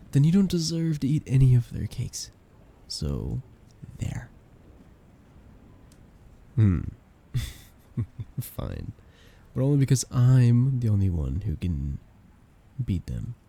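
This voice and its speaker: A young man playing a playful, affectionate best friend in a casual ASMR roleplay, speaking in a soft, teasing, expressive way. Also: fairly low pitch, vocal fry, breathy edge, exaggerated voice. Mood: warm, playful. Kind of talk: ASMR roleplay, casual intimate chat with listener.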